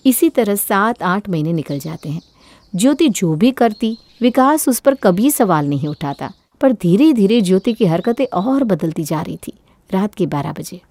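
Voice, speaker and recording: A woman's voice: mid-range voice, animated, moderate pace, mellow, no room sound. A woman narrating a scripted moral story as a storyteller.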